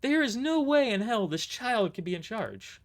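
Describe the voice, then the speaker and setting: A man's voice: high voice, sing-song, moderate pace, voice pushed up. A man voicing a furious, foul-mouthed small woman squad leader in an indignant shriek for an audio drama. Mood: shrieking fury. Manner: indignant, swearing, emphatic.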